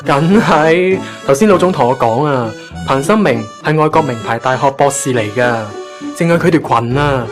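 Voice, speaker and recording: A man's voice: a little high, normal speed, over music, lightly acted. A man with a Hong Kong accent playing a chatty, familiar and teasing young office gofer in a radio drama. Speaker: voice actor in an online radio drama. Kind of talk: casual radio drama dialogue.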